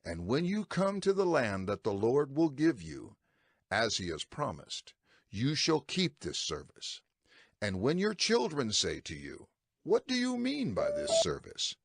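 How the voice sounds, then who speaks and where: A man playing an earnest male prophet instructing his people in a dramatized scripture recording, with a husky voice. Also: medium pitch, huge pitch swings, measured pace, dry recording, studio quality, full character voice. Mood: earnest, urgent instruction.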